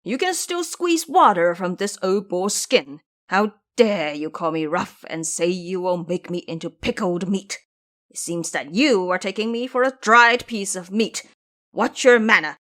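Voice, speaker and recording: A woman's voice: mid-range voice, lively intonation, unhurried, fairly bright tone. A woman playing a blustering male boar monk and old warrior in an audio drama, blunt and self-important. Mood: indignant.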